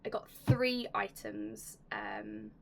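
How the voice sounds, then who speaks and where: A young woman hosting a casual unboxing vlog, chatty and enthusiastic, her voice slightly raspy and going hoarse. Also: a bass voice, big pitch swings, takes it slowly, slight background noise, low-quality recording. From United Kingdom. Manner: chatty, enthusiastic, drops to soft whispers at times. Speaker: YouTube host.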